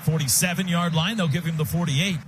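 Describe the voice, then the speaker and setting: High-voiced American football play-by-play man. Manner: rapid clipped phrases, present-tense narration. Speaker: radio play-by-play announcer. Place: stadium radio booth. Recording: loud stadium noise behind broadcast.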